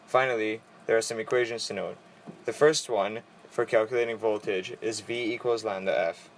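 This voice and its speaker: A teenage boy reading vocabulary and equations aloud for a school presentation, in a steady, orderly way. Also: lowish voice, normal speed, a little hiss or hum. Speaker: student presenter.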